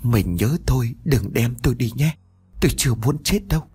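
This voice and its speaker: A stammering, frightened old lord with many wives pleads and begs, as a man puts him on in a spoken horror story. His raised voice is husky and wavers widely. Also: mid-range voice, animated, moderate pace, mellow, faint music behind. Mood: nervous, pleading.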